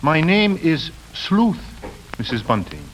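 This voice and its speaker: An actor playing a brooding, pious and menacingly polite lodger with formal, deliberate speech in an old radio suspense drama. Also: male voice, a little high, very animated, heavily acted, low-quality recording. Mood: courteous, intense. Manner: formal, deliberate, stern scriptural turns of phrase.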